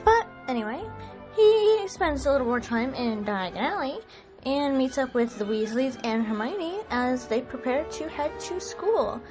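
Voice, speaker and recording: A woman's voice: high voice, lively intonation, a little slow. A woman hosting, giving an introduction and recap for an audio production. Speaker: host and co-director introducing the production. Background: music.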